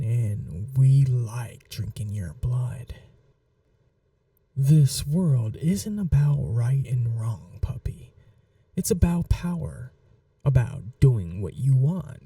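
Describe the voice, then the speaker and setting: A woman playing a possessive, teasing, sweetly cruel vampire mistress in an ASMR roleplay, speaking in a soft, intimate murmur that slips into whispers, condescending as if to a pet. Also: rumbling low, no room sound, distant mic, heavily acted. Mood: cold, superior. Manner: condescending, purring, coaxing like an owner to a pet.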